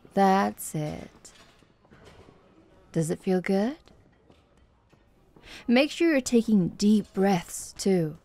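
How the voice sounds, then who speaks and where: Slow, creaky, teasing tomboy fighter played by a woman. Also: mid-range voice, dark tone, heavily acted. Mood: gently coaching. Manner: playful, teasing, coaxing, with breathy asides. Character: flirty, confident, teasing tomboy female MMA fighter. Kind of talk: ASMR roleplay.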